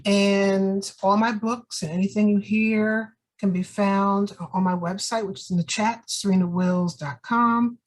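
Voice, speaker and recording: New York-accented woman poet, gentle reading voice, mid pitch. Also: steady tone, a little slow, few pauses. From Queens, New York. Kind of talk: poetry reading.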